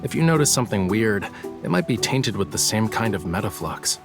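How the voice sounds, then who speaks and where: A young male painter in a video game, wry and nonchalant. His voice sits fairly low and has a teasing, whimsical manner. Music plays clearly underneath. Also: very animated, fairly quick. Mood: coaxing, instructive. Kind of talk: video game cutscene voice acting. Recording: music behind the voice.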